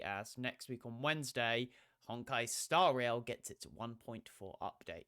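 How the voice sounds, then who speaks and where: A man's voice: mid-range voice, a bit bright. A young man chatting casually on stream, laid-back and swearing, his throat sore from a cold. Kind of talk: casual game stream chat.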